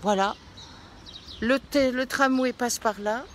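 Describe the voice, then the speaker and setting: A woman's voice: on the high side, takes it slowly, fairly bright tone, slight background noise. A woman doing a casual on-location interview, effusive and encouraging, full of exclamations. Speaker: visiting interviewer. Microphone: handheld phone.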